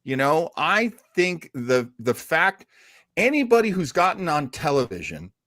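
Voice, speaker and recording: Animated man with a lively, swooping pitch. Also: a little slow, no room sound, studio quality. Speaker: podcast host. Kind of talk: casual talk show discussion.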